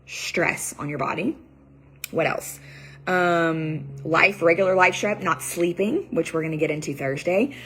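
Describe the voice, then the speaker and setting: A woman's voice: lowish voice, measured pace, fairly bright tone, a little hiss or hum, rough recording. A woman nutrition coach with a cold, losing her voice, giving a chatty live training talk full of fillers. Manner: chatty, loose, many fillers and "like"s.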